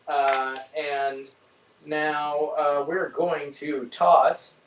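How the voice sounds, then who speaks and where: Roomy, higher-pitched man teaching cooking casually. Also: steady tone, very slow. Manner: informal, thinking aloud. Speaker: chef leading a cook-along. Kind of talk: casual live cooking instruction. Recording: roomy, distant mic, faint noise.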